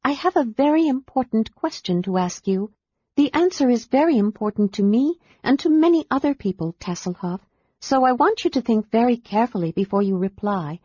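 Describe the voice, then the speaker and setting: A woman voicing a gentle, earnest woman librarian who speaks carefully and warmly. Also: fairly high pitch, animated, fairly quick, slightly rough, dry recording, dull audio, voice pushed up.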